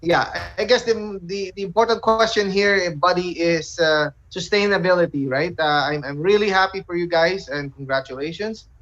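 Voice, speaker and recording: A man's voice: sits high, normal speed, hardly any pauses, fairly bright tone. A man casually putting questions as an interviewer, phrasing them hesitantly, over dull call audio.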